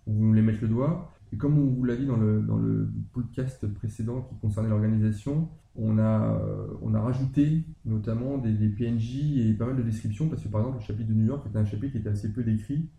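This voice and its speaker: Male podcast co-host, low mellow voice, hesitant, echoey room, casual chat. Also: steady tone, a little fast, gravelly, few pauses. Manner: hesitant, many fillers and restarts. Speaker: podcast co-host discussing a roleplaying campaign.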